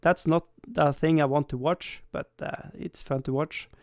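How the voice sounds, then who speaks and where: A man's voice: a little high, fairly even intonation, unhurried, a little mellow, no echo. Rambling man, dry close sound. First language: Swedish. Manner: rambling, self-deprecating, frequent stammered restarts and laughs. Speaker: livestream host. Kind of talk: casual livestream chat.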